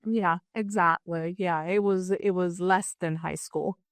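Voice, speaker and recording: A German-born woman in her fifties, German her first language, with a somewhat low, smooth voice. She talks chattily and laughs at herself as an interview guest. The sound is close and dry, with no room echo. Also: fairly even intonation, unhurried. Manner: chatty storyteller, self-deprecating. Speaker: guest, business owner. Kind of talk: casual interview chat.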